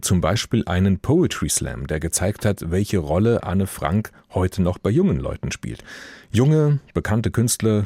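A man presenting radio news in a measured, serious scripted delivery. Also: low voice, a little mellow, dry recording, clean studio sound.

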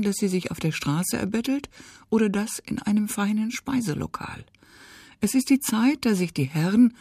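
A woman reading a scripted radio book review in a crisp, even narration with lively emphasis. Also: medium pitch, no echo, clean studio sound.

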